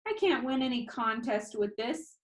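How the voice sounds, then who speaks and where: A woman's voice: fairly high pitch, expressive, moderate pace, voice pushed up, close to an everyday voice. Woman as an eager, emphatic young boy. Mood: upset. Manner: emphatic, pleading.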